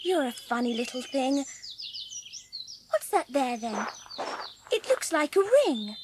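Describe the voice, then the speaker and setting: A woman's voice: sits high, lively intonation, takes it slowly. A woman playing a lonely, curious young orphan girl in a dramatised audiobook, speaking with wonder. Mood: delighted. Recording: clearly audible background noise. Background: birdsong.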